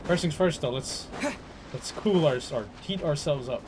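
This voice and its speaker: A man's voice: fairly high pitch, normal speed, background noise. A young man giving casual, slangy gameplay commentary, often talking to himself as he plays. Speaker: video game streamer. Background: game music.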